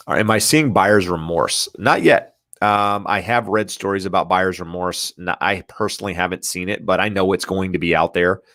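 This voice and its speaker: A man's voice: deep voice, fairly bright tone, no room sound, clean studio sound. A man hosting a casual live question-and-answer stream, stuffy and nasal from allergies, hesitant with many fillers and restarts as he reads out viewer questions. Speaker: real estate livestream host.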